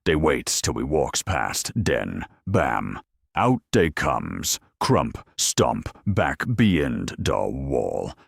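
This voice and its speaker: A man's voice: extremely low pitch, sing-song, drawn-out words, gravelly, slightly rough, no echo, studio quality, exaggerated voice. A man voicing a cunning, self-assured ork tank-hunter in an audio story, with punchy, slangy speech. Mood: excited scheming.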